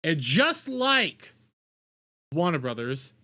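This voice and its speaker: A man's voice: on the high side, sing-song, slow, bright, forceful tone. A man giving casual, rambling livestream commentary and reactions in a blunt, emphatic, profane way.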